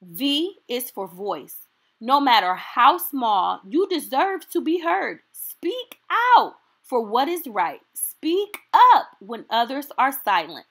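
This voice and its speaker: Female storytime reader, fairly high voice, very animated intonation, unhurried and encouraging, for a children's picture book. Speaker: storytime host reading aloud.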